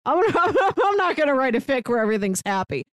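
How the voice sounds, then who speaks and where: A woman's voice: high-pitched, sing-song, a little fast, no room sound, studio quality. A woman co-hosting a casual film podcast over a remote call, chatty and laughing as she talks. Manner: chatty, laughs while talking. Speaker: podcast co-host.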